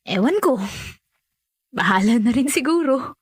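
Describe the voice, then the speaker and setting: A woman's voice: high voice, expressive, unhurried, husky, no echo, clean studio sound, exaggerated voice. A young woman playing a resigned, dutiful family caretaker in natural radio drama dialogue, self-effacing and accommodating.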